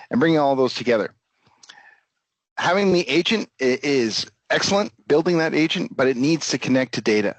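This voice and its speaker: Man giving a halting business presentation. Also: medium pitch, dry recording, poor audio. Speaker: company executive presenting. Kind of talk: business presentation with product demo pitch.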